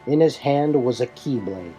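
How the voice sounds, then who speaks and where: A man's voice: mid-range voice, animated, normal speed, warm tone, soft background music, poor audio. A man reading scripted fantasy narration in a formal, dramatic storytelling manner. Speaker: narrator and reader.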